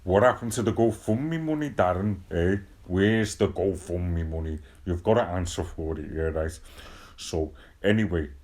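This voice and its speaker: A man's voice: low voice, a little mellow. A man playing a brash, sweary hard-man podcast host, blunt and peppering his talk with "yeah, right". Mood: confrontational. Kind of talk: comic casual chat.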